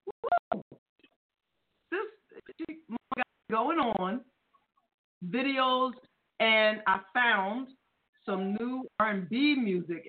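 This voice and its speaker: A woman hosting a casual radio talk show, gushing and excitable as she introduces and praises her guest. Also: a little high. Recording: tinny phone-like audio.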